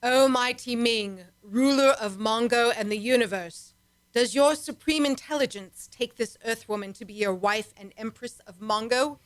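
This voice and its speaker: A woman playing a bold high priestess in an old-time radio serial, formal and ceremonial. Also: moderate pace, lightly acted. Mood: solemn. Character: solemn, bold high priestess of an alien empire.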